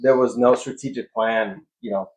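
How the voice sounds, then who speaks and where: A man's voice: medium pitch, fairly even intonation, moderate pace, a little mellow. A man speaking as a pastor and interview guest, answering in an informal, reflective, conversational way. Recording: echoey room, dull muffled sound.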